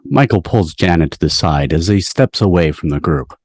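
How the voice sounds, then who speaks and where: A man's voice: low-pitched, expressive, moderate pace, a little mellow, no room sound. A man reading scripted stage directions as the narrator of a table read. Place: online video call. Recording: dry video-call audio.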